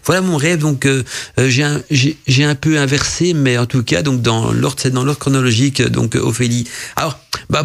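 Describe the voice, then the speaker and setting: A man's voice: mid-range voice, vocal fry. A male radio host who is not a native French speaker, reading listeners' messages and interpreting their dreams in a rambling, casual way full of fillers and restarts.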